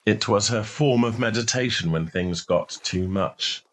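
A man's voice: lowish voice, unhurried, fairly bright tone. A man reading a short story in a scripted narration style.

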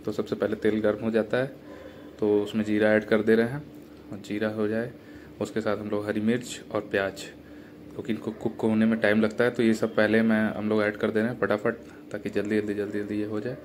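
A young man talks viewers through his cooking in a relaxed, chatty way and calls them brother. His voice sits low and stays fairly level. The small kitchen sounds echoey, with faint background hum. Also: a little fast. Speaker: cooking vlogger. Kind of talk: casual cooking voice-over. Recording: echoey room with faint ambient noise.

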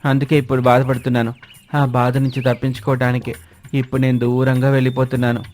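A man's voice: mid-range voice, completely flat delivery, quick pace, darkish tone, lightly acted. A man voicing a troubled, reluctant crow in a children's cartoon story, explaining himself in a weary, plaintive way. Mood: troubled, resigned. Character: troubled, reluctant male crow caught between two admirers. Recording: music bed under the voice.

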